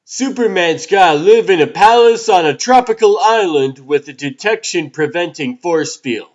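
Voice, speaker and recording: A man voicing an excitable young male news photographer in a comic dub, eager and incredulous. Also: sits high, moderate pace, highs cut off.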